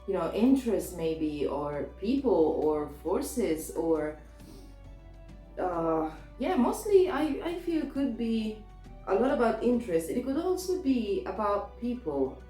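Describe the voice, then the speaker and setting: A woman with a sore throat, a little hoarse, giving a casual tarot reading in a chatty, hesitant way with many restarts. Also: medium pitch, big pitch swings, takes it slowly, warm tone, reverberant, faint music behind, poor audio. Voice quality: slightly hoarse. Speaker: tarot reader.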